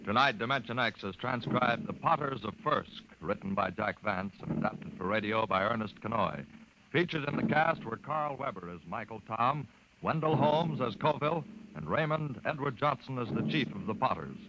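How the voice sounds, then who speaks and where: A man's voice: medium pitch, expressive, normal speed. A man announcing and narrating in a formal, dramatic 1950s radio style. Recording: dull, noisy 1950s radio recording.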